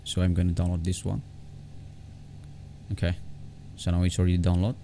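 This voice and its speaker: A man giving an informal step-by-step software tutorial in a halting way, often repeating words and phrases, on a desktop condenser microphone. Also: monotone, creaky. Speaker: tech tutorial vlogger. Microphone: separate desktop condenser microphone. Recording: low background noise on home recording.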